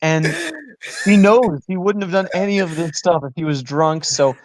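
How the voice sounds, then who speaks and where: A man's voice: on the high side, moderate pace. A young man chatting casually as a podcast co-host. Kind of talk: casual chat.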